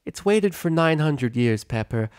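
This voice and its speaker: A man's voice: fairly high pitch, animated, unhurried, a little mellow, clear, resonant tone, no echo, studio quality, pitched above the performer's own voice. A man voicing an anxious, affectionate middle-aged female pony with an earnest, flustered manner in a storybook reading. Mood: fond, exasperated.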